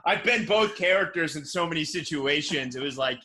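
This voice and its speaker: A man's voice: on the high side, lively intonation, a little fast, sharp tone. A man hosting an interview podcast from his city apartment, talking in an eager, effusive way with many "like"s. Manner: enthusiastic, effusive praise, many fillers like "like". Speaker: podcast host. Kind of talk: casual interview chat.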